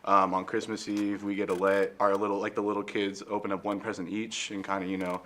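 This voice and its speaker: A man's voice: fairly low pitch, flat delivery, a little fast, fairly bright tone. A young man answering interview questions in a rambling, casual way, full of "like" and "you know.".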